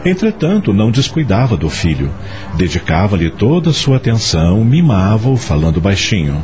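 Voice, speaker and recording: A man narrates a radio soap opera with highly expressive intonation. His voice is fairly low, with clear music playing underneath as he tells the story. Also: moderate pace. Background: background music.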